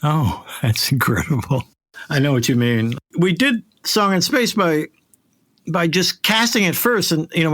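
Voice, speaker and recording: A middle-aged man hosting a podcast conversationally, halting and emphatic, often repeating phrases to drive them home. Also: on the high side, a bit bright, no room sound, clean studio sound. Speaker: podcast host.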